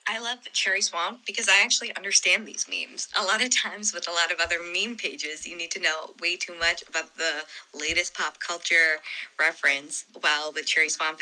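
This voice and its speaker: A woman gives a casual listener testimonial. Her voice is low for a woman, yet very bright and sharp-edged. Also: low-quality recording.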